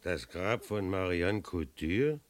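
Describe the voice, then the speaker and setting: An actor playing a cautious, secretive cemetery attendant in a radio drama, speaking in a measured, confidential way. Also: male voice, sits low, lively intonation, loud music behind the voice.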